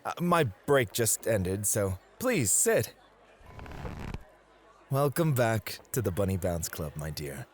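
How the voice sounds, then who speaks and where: A man's voice: lowish voice, big pitch swings, slow pace, pauses often, slight background noise. A man playing an affectionate, courteous club host in a romantic ASMR roleplay, sweet-talking and polite. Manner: sweet-talking, polite, soft laughs and sighs. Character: affectionate, courteous male club host, gently possessive, motivated rival.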